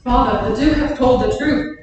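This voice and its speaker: Actress as a loyal earl, earnest verse, distant hall reverb. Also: female voice, high voice, moderate pace, darkish tone, highs cut off, a little hiss or hum, lightly acted. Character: loyal earl backing a duke's claim to the throne. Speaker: actor in a staged reading. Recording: echoey hall, far from the mic.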